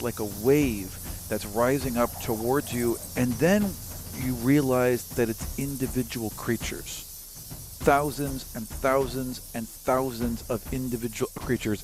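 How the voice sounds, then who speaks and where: Man game-mastering over clear background noise. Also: medium pitch, darkish tone, low-quality recording. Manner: conversational, improvised narration. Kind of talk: narrating a tabletop game.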